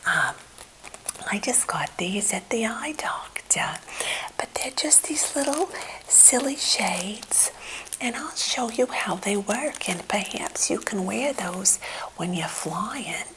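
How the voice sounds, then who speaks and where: A middle-aged woman playing a quirky, chatty therapist in an ASMR role play, whispering softly and hesitantly in a reassuring way. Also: mid-range voice, expressive, takes it slowly, background noise, pitched above the performer's own voice. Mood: helpful, reassuring. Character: quirky, soothing, chatty female therapist welcoming a famous client. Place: indoor room at home.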